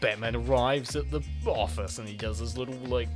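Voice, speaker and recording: A man's voice: medium pitch, a little fast, loud music behind the voice. A man co-hosting a casual comic reading, with wry, incredulous commentary. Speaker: co-host.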